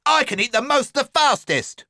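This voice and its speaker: A man's voice: extremely high pitch, lively intonation, normal speed, gravelly, pushed and bright, slight background noise, voice pushed up, heavily acted. A man voicing Harry, a smug young boy who crowns himself the grossest. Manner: smug, grandiose.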